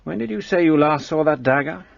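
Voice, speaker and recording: An actor playing a brusque, arrogant police detective in a radio play, speaking in a curt, commanding way. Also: male voice, a little high, lively intonation, brisk, gravelly, no room sound, lo-fi sound.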